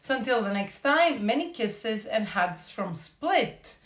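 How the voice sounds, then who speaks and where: A woman's voice: a little high, big pitch swings. Croatian woman teaching, echoey room. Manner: slow, careful repetition of phrases. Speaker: language teacher. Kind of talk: casual online language lesson.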